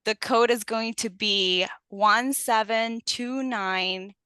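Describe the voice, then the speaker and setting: Woman presenter from colombia, bright tone, crisp voice, explaining with ums. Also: fairly high pitch, fairly even intonation, takes it slowly, dry recording. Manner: friendly, explanatory, with frequent ums. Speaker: guest speaker, teacher trainer. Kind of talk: online presentation.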